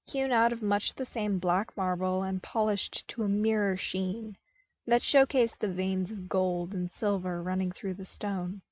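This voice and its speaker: A woman narrates scripted fiction in the manner of an audiobook. Her pitch sits in the middle for a woman. The recording is very dry, without audible room. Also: fairly even intonation, unhurried, a little mellow, dry recording, rough recording. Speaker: podfic narrator.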